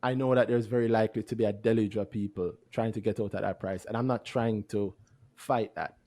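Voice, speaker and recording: A man explaining his trading strategy in a relaxed podcast conversation. His voice carries a gravelly fry, and he leans on rhetorical questions to make his point. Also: fairly low pitch, fairly quick. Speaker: podcast host. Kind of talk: casual investing chat.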